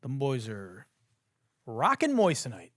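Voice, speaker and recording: Young male podcast host, incredulous comic ranter, slightly hoarse, very expressive, dead-dry sound. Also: mid-range voice, slow, dry recording. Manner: profane, laughing, incredulous ranting. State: hoarse, says he has lost his voice. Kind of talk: casual comedic commentary.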